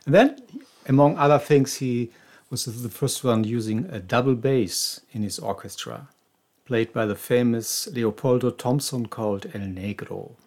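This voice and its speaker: A man's voice: mid-range voice, a little slow, a little mellow. A man co-hosting a podcast, explaining tango history in a casual, knowledgeable way with frequent restarts. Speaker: podcast co-host.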